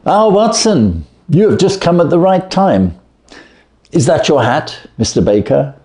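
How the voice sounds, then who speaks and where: A man reading the part of a brisk, clever, self-assured Victorian detective in an audiobook, with precise, commanding, theatrical delivery. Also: a little high, sing-song, darkish tone, pitched above the performer's own voice. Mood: assured, genial.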